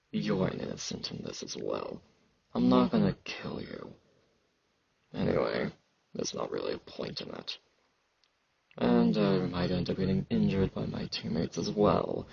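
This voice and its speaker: A man playing an aloof, battle-hardened fantasy knight in an ASMR roleplay, halting and terse, with a husky, gravelly voice and sibilant S sounds. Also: big pitch swings, a little mellow, no echo, dull audio, full character voice. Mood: resigned, stoic. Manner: halting, terse, low-key, socially awkward.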